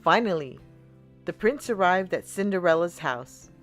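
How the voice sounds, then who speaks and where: A woman host reads a children's storybook aloud in a slightly low voice. She takes her time, stressing words and pausing for drama, while faint music plays behind her. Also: lively intonation.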